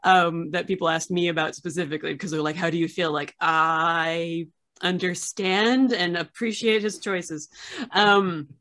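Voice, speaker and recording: Lowish-voiced woman in her 30s chatting on a video call, laughs a lot, animated. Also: a little slow. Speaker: archivist and show host. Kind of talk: casual video-call interview. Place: home room with framed pictures on yellow wall.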